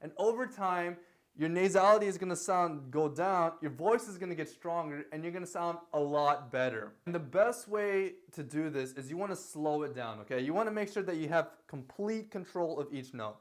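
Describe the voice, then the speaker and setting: Chatty male vocal coach with a higher voice. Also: normal speed. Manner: loose, repetitive talk with many fillers and "okay?" checks; sings short lines to demonstrate. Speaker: singing teacher. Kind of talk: casual vocal lesson.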